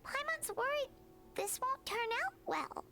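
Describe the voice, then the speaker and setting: A woman voicing a small, childlike, anxious and soft-hearted fairy companion in a video game, with a squeaky voice. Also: expressive, a little slow, loud music behind the voice, full character voice. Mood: worried.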